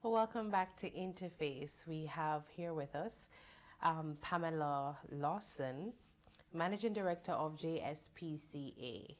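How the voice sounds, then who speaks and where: A woman hosting a TV studio interview, introducing her guest and giving short, measured prompts. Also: deep voice. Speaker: TV interview host. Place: TV news studio.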